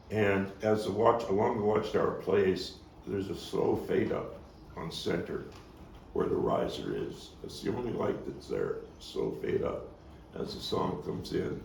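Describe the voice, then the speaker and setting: A man talking through the stage directions of a play in a halting manner, as its playwright and actor. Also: lowish voice, vocal fry, darkish tone. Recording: roomy, noisy, distant microphone.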